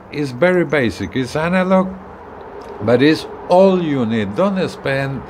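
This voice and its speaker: A man in his sixties giving a casual, plain-spoken, step-by-step product demonstration with halting phrasing. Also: medium pitch. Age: 60s. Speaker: gadget reviewer. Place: outdoors on a residential balcony. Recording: clear outdoor ambient noise.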